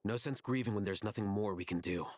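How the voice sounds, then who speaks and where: A man voicing a stern, decisive investigator in a video game, serious and measured. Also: lowish voice, darkish tone, a little hiss or hum, close to an everyday voice. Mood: resolute. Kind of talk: video game voice acting, dramatic dialogue.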